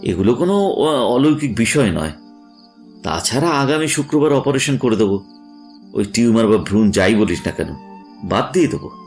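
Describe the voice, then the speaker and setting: Male actor as skeptical surgeon, audio drama, matter-of-fact, lively intonation, faint music behind. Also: mid-range voice, normal speed, long pauses, lightly acted. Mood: firm, dismissive. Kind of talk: audio drama acting in Bengali.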